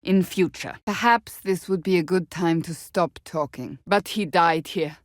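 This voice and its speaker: A woman acting a serious warrior woman of faith from a fantasy video game. Her voice sits fairly low and is recorded dry. She speaks formally, in clipped, earnest phrases, with a stern reserve. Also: a little slow, dry recording, lightly acted. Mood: grave. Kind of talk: scripted video game dialogue.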